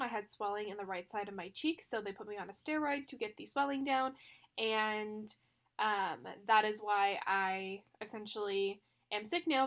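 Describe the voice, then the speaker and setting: A young woman with a fairly high voice chats casually to her viewers. Her voice is stuffy and a little rough from the flu. She rambles, with frequent fillers and small laughs. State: congested from the flu, with a slight cough. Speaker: planner vlogger. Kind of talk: casual chat.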